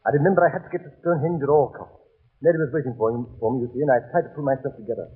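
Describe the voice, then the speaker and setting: An actor playing an earnest, shaken young doctor, speaking hesitantly and anxiously in the style of 1930s radio drama. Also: male voice, medium pitch, racing, breathy edge. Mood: shaky, explaining. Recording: crackly narrow-band 1930s radio recording.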